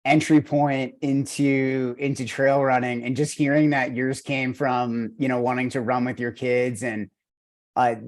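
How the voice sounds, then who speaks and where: A man's voice: medium pitch, little pitch movement, unhurried. A man with an Argentinian accent, hosting a running coaching podcast and interviewing an athlete in an enthusiastic, rambling way with many fillers. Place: video call.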